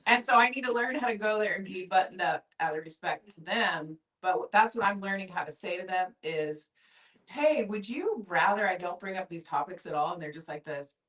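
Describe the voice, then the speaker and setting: A woman's voice: lowish voice, normal speed, a bit bright. A middle-aged woman chatting casually and candidly in a group discussion, in an effusive, informal way. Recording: distant room microphone.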